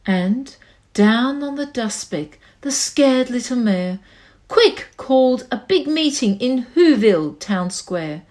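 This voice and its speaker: A woman's voice: on the high side, lively intonation, slow pace, darkish tone. A woman reading a children's story aloud expressively as narrator. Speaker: storybook reader.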